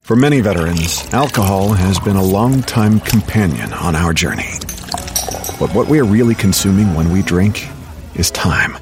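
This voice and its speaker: A man narrating a public-service ad in a calm, sincere tone. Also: sits low, moderate pace, music in the background.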